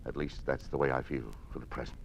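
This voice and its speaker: An actor playing a weary, burdened government official in an old-time radio drama, with a grave, measured delivery. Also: male voice, very deep voice, flat delivery, gravelly, slight background noise. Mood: grave, earnest. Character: weary, burdened official resisting a tyrannical regime.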